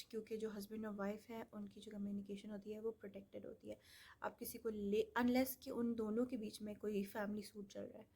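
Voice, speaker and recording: Woman lecturing in a flat, mellow voice. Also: mid-range voice. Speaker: law lecturer. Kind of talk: explanatory lecture mixing Hindi-Urdu with English legal terms.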